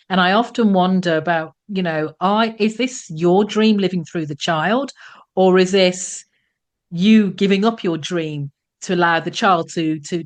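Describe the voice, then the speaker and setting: A woman hosting an interview show, introducing her guest and asking questions in a conversational style. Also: lowish voice.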